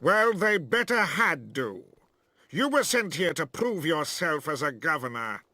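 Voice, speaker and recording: Condescending imperial noble, bright and lively, slow, music under. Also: male voice, fairly high pitch, dry recording, full character voice. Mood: haughty. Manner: sneering, condescending. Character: haughty scornful imperial brother, adult man. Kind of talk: video game cutscene acting. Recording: game audio with music behind.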